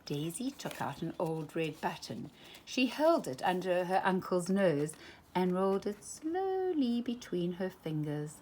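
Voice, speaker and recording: A woman reading a children's storybook aloud, slow and expressive. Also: lowish voice, slight background noise. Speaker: storytime reader.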